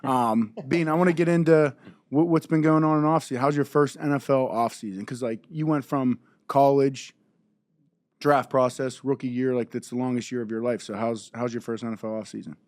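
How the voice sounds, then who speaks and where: A young man from the Pittsburgh area hosting a podcast, chatty and upbeat, quick to laugh. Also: medium pitch, fairly even intonation, dry recording. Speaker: podcast host. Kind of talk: casual podcast chat.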